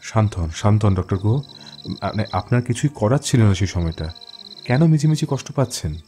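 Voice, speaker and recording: A man's voice: fairly low pitch, animated, mellow, soft background music, natural voice. Intense, confident young male investigator , acted out. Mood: calm, probing. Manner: grave, deliberate explaining, mixes in English words. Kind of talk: dramatic audio horror story acting.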